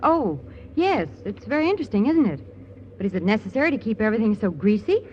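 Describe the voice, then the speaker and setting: A woman's voice: mid-range voice, sing-song, fast, natural voice. An actress playing a genteel young female companion with a breathless manner, in 1940s radio style. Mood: anxious. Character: refined young woman companion, anxious. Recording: dull, hissy old radio recording.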